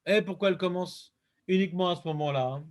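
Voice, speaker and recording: Rabbi, man, high voice, lively questioning teacher, quotes. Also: fast talker. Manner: lively, questioning, quotes Hebrew verses in a chant-like cadence. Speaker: rabbi teaching a Talmud class. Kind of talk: Talmud lesson.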